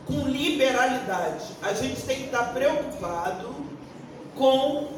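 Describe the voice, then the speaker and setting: A Brazilian woman of sixty-one answering on a forum panel like a pastor, deliberate and emphatic, searching for words as she goes. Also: high-pitched, animated. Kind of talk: unscripted panel answer with preaching tone. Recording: echoey room, distant mic, faint noise.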